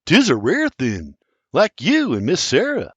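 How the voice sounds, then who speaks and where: A man's voice: a little high, wildly swooping pitch, measured pace, breathy edge, no room sound, dull audio, pitched above the performer's own voice, exaggerated voice. A man voicing an earnest, bashful young Irishman in love.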